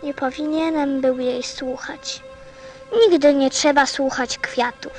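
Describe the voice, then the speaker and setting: Radio play: sensitive little boy prince. Very high, unhurried, earnest and childlike. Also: soft background music. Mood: regretful, wistful. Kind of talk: radio drama acting.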